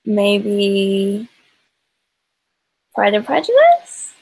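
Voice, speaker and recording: Creaky, husky, lively-pitched woman contestant. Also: drawn-out words, poor audio. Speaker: quiz contestant. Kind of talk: casual game answers, tentative.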